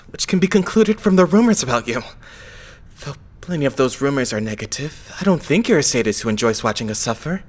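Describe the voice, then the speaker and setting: A man acts out a young male idol for an anime fandub. His pitch swings freely while his phrasing stays polite and measured. He comes across as pious and shrewdly analytical. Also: close to an everyday voice. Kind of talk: anime game fandub acting.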